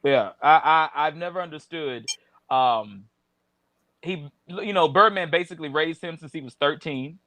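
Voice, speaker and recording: A man's voice: a little high, moderate pace, pushed and bright. A man with a Southern US accent co-hosting a casual chat show, talking through celebrity news with lots of fillers and restarts. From American South. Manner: chatty, many fillers and restarts. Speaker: podcast co-host.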